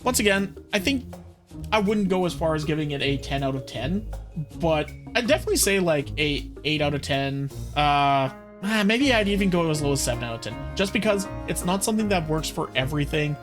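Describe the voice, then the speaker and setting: Relaxed rambling reaction host, loud music behind. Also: medium pitch, fairly quick. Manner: relaxed, rambling, with many fillers. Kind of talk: casual art commentary. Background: background music.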